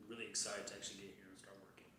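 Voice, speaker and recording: A man's voice: sits low, flat delivery, racing, bright, forceful tone, slight background noise. Earnest man in an echoey room. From Kentucky, USA. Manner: earnest, with fillers. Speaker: pre-military coordinator and instructor. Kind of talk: interview answers. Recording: echoey room, mic at a distance.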